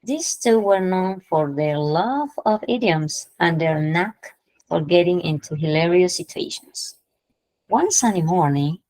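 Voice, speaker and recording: A woman's voice: lowish voice, darkish tone, dry recording, poor audio. A woman teaching English idioms in an online class, explaining patiently, repeating phrases and checking in with her students. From Peru.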